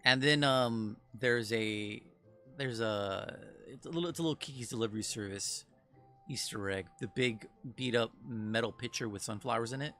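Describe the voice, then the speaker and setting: A man's voice: medium pitch, moderate pace, fairly bright tone, faint music behind. A man, a game developer guest on a livestream, chatting casually and rambling with frequent restarts and laughs. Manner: rambling, self-interrupting, laughs often. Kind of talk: casual stream chat.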